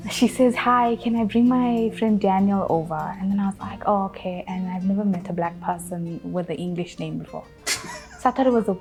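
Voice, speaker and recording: A woman's voice: mid-range voice, moderate pace, a little mellow. A woman in her twenties speaks with a South African accent. She gives a casual personal account in an interview, with faint music playing behind her voice. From Durban, South Africa. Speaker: interviewee telling her relationship story.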